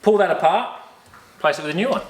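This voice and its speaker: A man's voice: sits high, animated, brisk, heavy background noise. A man, casually talking while he works on a car in a garage, rambling and correcting himself as he goes. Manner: rambling, self-correcting, offhand. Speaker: car restoration vlogger.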